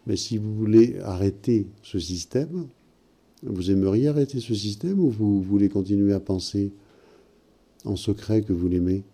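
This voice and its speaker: A man hosting, asking calm probing questions and interpreting a caller's dream. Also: fairly low pitch, moderate pace. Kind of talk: counselling talk.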